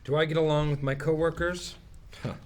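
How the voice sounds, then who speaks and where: A man's voice: fairly high pitch, steady tone, fairly quick, lightly acted. A man playing a proud, officious office manager in a sitcom table read, formal, emphatic and pompous. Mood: proud, deliberate. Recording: faint background noise, live room.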